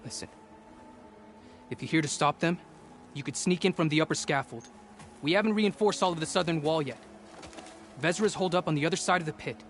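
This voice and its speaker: A man's voice: fairly high pitch, brisk, long pauses, a little hiss or hum, lightly acted. An actor playing an earnest, helpful captive tribesman in a video game, urgent and bitter toward his captors. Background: music.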